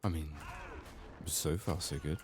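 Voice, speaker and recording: A soft-spoken man with a sick, strained voice, muttering downbeat, sweary ASMR commentary as he plays a video game. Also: extremely low pitch, steady tone, unhurried, noisy background.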